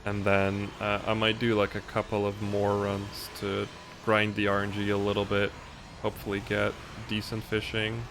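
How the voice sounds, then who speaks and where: A man's voice: fairly low pitch, monotone, normal speed, vocal fry, background noise. A man from the Netherlands whose first language is Dutch, chatting casually in commentary while playing as a speedrunner, with a pronunciation mixing British and American. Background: game music.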